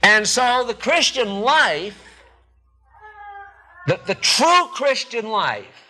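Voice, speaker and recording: A man in his sixties preaching a sermon from a church pulpit into podium microphones, emphatic and deliberate, with pauses and repeated phrases. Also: high-pitched, sharp tone. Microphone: two podium microphones.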